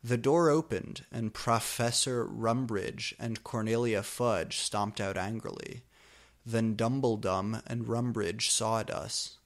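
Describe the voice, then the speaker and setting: A man's voice: fairly low pitch, takes it slowly. A man narrating amateur fan fiction as a comic dramatic reading, with exaggerated, mock-serious emphasis.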